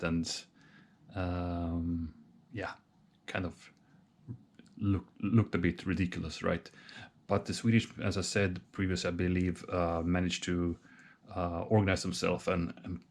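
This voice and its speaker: A deep-voiced man talks through a board game setup on his own, slowly and without hurry. He thinks aloud, hesitating, pausing and restarting his sentences as he works things out. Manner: hesitant, many pauses and restarts. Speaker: solo board game player. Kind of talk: thinking aloud while setting up a wargame.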